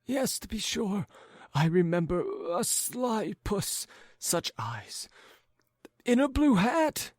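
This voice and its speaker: A high, wandering old man's voice, put on by a male reader, forgetful and coughing, drawn out into a wheedling chant. He rambles slowly over his words, recorded dry and close. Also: sing-song, dry recording, full character voice. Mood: gossipy.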